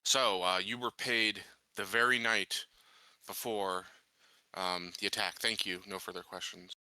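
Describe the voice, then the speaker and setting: A man's voice: low-pitched, steady tone, a little slow, pushed and bright, smooth, no room sound, poor audio. A man playing a tabletop roleplaying game, improvising questions in character and chatting with the table.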